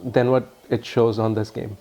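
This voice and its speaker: A man with an Indian accent giving a casual, halting phone review full of ums and uhs. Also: mid-range voice, flat delivery, mellow, soft background music. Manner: earnest, explanatory. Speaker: tech reviewer.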